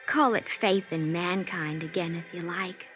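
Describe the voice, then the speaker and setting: A woman's voice: lowish voice, moderate pace, close to an everyday voice. An American actress playing a worldly, earnest young showgirl in a 1950s radio drama. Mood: heartfelt, resolute. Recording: muffled vintage radio recording, music behind.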